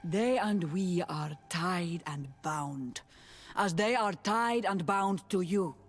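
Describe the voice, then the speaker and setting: An actress playing a solemn native island woman in a video game, with a deliberate, grave delivery. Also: on the low side, faint music behind. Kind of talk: video game cutscene acting.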